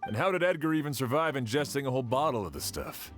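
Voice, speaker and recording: A man's voice: mid-range voice, expressive, fast, soft background music, natural voice. A man voicing a skeptical male official in a video game who questions every claim. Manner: questioning and doubtful.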